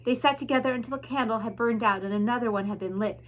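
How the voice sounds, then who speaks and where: A woman's voice: a little high, fast talker, rough recording. A woman narrating a children's book expressively, like a teacher reading aloud to pupils.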